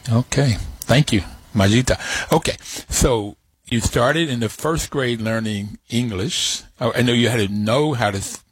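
A man's voice: fairly low pitch, husky, no echo. A man hosting a radio interview, asking curious, conversational questions. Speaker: radio host.

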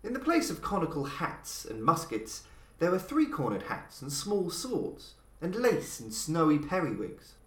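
A man reads an old tale aloud with measured, dramatic pacing. His pitch sits a little high and rises and falls widely from phrase to phrase. The room around him gives the voice a clear echo. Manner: measured, dramatic delivery with lively pitch swings. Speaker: podcast host and reader. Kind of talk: scripted storytelling narration.

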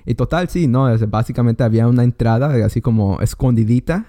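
A man, interviewing in casual conversation and often pausing with fillers. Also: medium pitch, dark tone. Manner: hesitant, many fillers and restarts.

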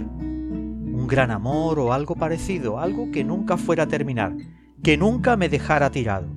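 A man playing a lonely, lovelorn and self-deprecating narrator in a scripted audio fiction. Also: mid-range voice.

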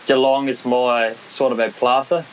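Danish-accented man, flat halting voice. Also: mid-range voice, poor audio. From Denmark. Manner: halting, many restarts and fillers. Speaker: skateboarder, competition winner. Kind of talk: casual interview. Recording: faint background hiss.

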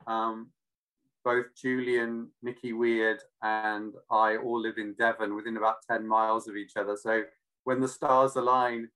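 A man from Cardiff with a British but not Welsh accent, talking casually and reflectively, often pausing to search for words. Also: lowish voice, flat delivery, a bit bright, low-quality recording. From Cardiff, Wales. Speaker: interview guest.

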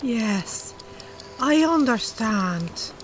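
A woman's voice: a little high, expressive, extremely slow, faint music behind. An actress playing an obliging Irish bingo-hall woman in an audio drama, with a simple, compliant manner. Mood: entranced, obedient.

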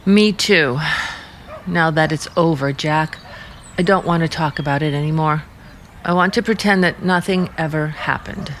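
A woman's voice: low-pitched, moderate pace, a little hiss or hum, natural voice. An actress playing an earnest, troubled young American small-town woman with restrained resolve, in vintage radio drama style. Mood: wistful.

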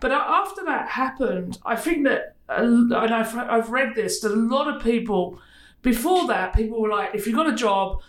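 A man's voice: very high-pitched, lively intonation, normal speed, a bit bright, reverberant. A middle-aged man hosting an interview, talking in a rambling, chatty way full of asides. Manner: rambling, chatty, many fillers. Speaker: podcast host. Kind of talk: casual interview chat.

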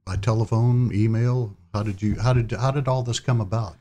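Interviewer, male, low mellow voice, short pointed questions. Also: steady tone, normal speed. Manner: brief, probing questions.

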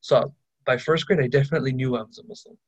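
A young male student speaks up in a mid-pitched, ordinary voice. He tells a short story with a few hesitant "um"s and "like"s. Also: fairly even intonation, fast talker, dry recording, lo-fi sound. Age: young adult. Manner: recalling a memory with hesitant fillers. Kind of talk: casual class discussion.

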